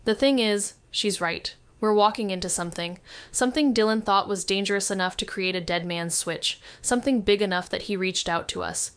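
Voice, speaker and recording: A woman's voice: mid-range voice, a little fast, fairly bright tone, clear, resonant tone, natural voice. An actress playing a wary, sardonic young woman detective who narrates a noir audio drama with dry sarcasm. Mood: brooding, tense. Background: background music.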